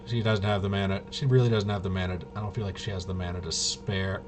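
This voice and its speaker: Low-voiced man thinking aloud, noisy recording. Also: steady tone. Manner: halting, thinking aloud, muttering to himself. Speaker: game master demonstrating a tabletop RPG. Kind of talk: casual improvised narration of solo tabletop gameplay.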